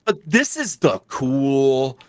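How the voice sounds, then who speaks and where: A man speaking as a podcast guest in a casual interview, animated and jokey, with slangy, self-deprecating talk. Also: medium pitch, slow pace, dry recording, studio quality. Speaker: podcast guest, audio roleplay creator.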